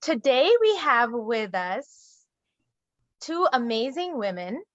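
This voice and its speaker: A woman's voice: fairly high pitch, big pitch swings, slow pace, no room sound, muffled recording. A woman moderating an online panel, introducing guest speakers in a formal but friendly way over a video call. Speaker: moderator and case management director.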